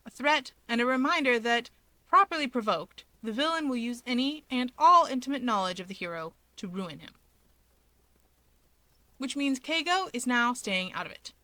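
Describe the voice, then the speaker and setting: Bright-voiced woman reading a story. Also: on the high side, animated, normal speed, no room sound, low-quality recording. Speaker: story reader. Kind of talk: scripted fiction narration.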